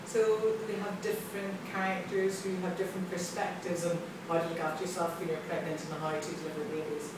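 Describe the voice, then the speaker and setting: A woman's voice: lowish voice, little pitch movement, brisk, few pauses. A woman explaining a radio drama project in an interview, enthusiastic and explanatory, recorded on a phone camera in an office. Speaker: country director. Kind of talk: interview answers. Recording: echoey room recorded from far off, noisy.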